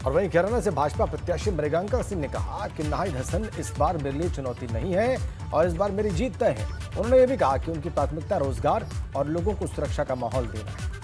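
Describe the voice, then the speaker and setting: A man's voice: fairly high pitch, animated, a little fast. A man reading a scripted news voice-over as the narrator. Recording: voice-over on clear music bed.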